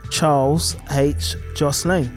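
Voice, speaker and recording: A man's voice: a little high, fairly even intonation, slow pace, loud music behind the voice. A man hosting a podcast, interviewing casually in a polite, self-deprecating way. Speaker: podcast host.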